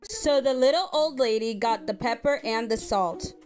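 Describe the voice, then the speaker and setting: A woman reading a children's story aloud in an expressive, measured storytelling way. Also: fairly high pitch, faint music behind. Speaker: storybook reader.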